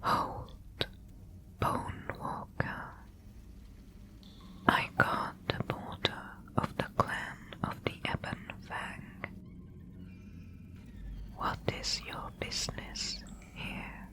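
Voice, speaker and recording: A woman's voice: very slow, pauses often, pushed and bright. Woman whispering as a stern spider guard. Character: female giant spider guard, wary, territorial. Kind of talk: ASMR audio roleplay.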